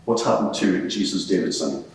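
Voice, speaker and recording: A man's voice: low-pitched, moderate pace, lightly acted. A man playing a courteous, probing lawyer, putting terse, formal questions to a witness in a dramatised inquiry. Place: large courtroom in a public building. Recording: distant, very echoey large hall.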